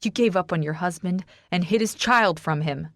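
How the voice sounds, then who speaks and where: A woman's voice: lowish voice, moderate pace, no room sound, clean studio sound, natural voice. A woman narrator voicing a bitter, wounded, hot-tempered man in an audiobook, with intense delivery. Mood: bitter rage.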